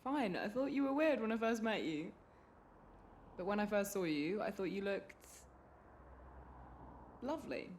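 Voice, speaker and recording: Casting: sarcastic young British man, higher-pitched, lively swings, naturalistic radio drama, background noise. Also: unhurried, pauses often. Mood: tender, teasing. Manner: sarcastic, sweary, challenging. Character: prickly, outspoken young friend bickering at a bus stop. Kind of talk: naturalistic radio play dialogue.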